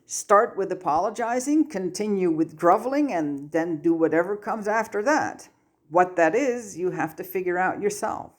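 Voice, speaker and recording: A gentle, patient wizard schoolmaster in a storybook reading, voiced by a woman. He is a grown man who guides kindly and holds firm. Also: on the low side, expressive, moderate pace, voice pushed up. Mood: wry, kind. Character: kindly, firm adult male wizard teacher and mentor.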